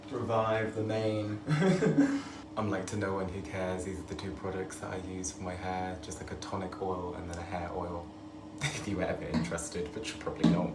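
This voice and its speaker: A young man talks through his day in a chatty, upbeat way, tossing in little jokes at his own expense. His voice sits fairly low. A faint hum of room ambience stays under him. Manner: chatty and upbeat, self-deprecating asides. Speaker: musical theatre student. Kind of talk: casual vlog narration.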